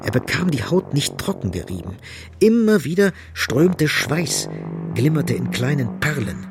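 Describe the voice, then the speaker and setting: A man narrating a literary radio play dramatically, in terse, emphatic phrases with a gravelly voice. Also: a little high, sing-song, measured pace, noisy background. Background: music.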